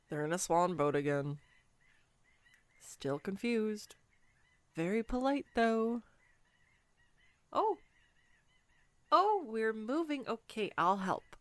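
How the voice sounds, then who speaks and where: A woman plays a sweet-natured klutz of a girlfriend in a roleplay audio drama. She talks at an unhurried pace in a mid-pitched female voice, easily flustered and giggly, poking fun at her own clumsiness as if to one close listener. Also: lively intonation, no room sound. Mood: playful. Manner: flustered, self-deprecating, chatty with bursts of laughter. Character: clumsy, giggly, self-deprecating girlfriend on a swan boat date. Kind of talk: roleplay audio drama acting, intimate one-sided conversation.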